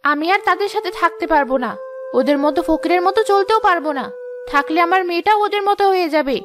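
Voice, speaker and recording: A woman's voice: very high voice, fast. A woman playing a proud, indulgent young mother in a cartoon, haughty and insistent. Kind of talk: Bengali cartoon moral-story voice acting.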